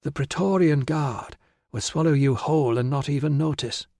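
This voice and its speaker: A man's voice: a little high, normal speed, mellow, no echo, pitched above the performer's own voice. A man narrator plays a firm, sceptical married woman in an audiobook. The delivery is curt and impatient, with a no-nonsense edge. The sound is dry and close. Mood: stern. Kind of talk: audiobook character voice.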